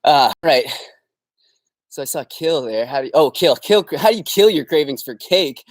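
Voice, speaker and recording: A man's voice: a little high, lively intonation, moderate pace, no room sound. A young Canadian man hosting a casual live Q&A from his backyard, upbeat and rambling with fillers. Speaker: host. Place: backyard, outdoors.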